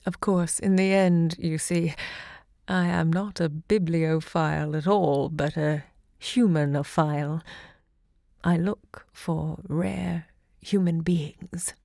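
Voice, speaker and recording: An actress playing a self-assured literary salon hostess in an audio drama, poised and savouring each word. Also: female voice, deep voice, steady tone, slow, gravelly, mellow, no room sound, studio quality, exaggerated voice. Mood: imperious, charming.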